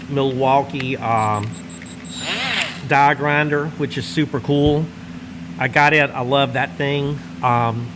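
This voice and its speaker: Drawling Louisiana man in a humming garage. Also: mid-range voice. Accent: Northwest Louisiana Southern drawl. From Northwest Louisiana. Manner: easygoing, meandering, folksy drawl, pauses while smoking a cigar. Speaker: channel host. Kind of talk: casual rambling chat to camera. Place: large insulated home garage workshop, indoors. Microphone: camera close in front, seated. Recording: steady heater hum behind voice. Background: heater running.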